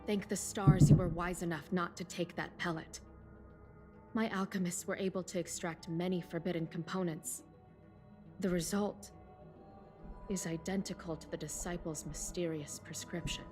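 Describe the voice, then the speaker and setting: A woman's voice: fairly low pitch, fairly even intonation, moderate pace, a little mellow, soft background music, natural voice. An actress voicing a composed, shrewd woman official in a video game, speaking formally and articulately. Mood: grave, resolute. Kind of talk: video game character dialogue.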